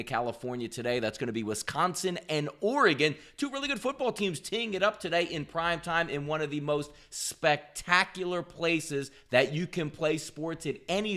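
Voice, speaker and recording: A man's voice: a little high, fairly bright tone. A man hosting a sports betting picks show, delivering commentary in an upbeat, emphatic, salesman-like way.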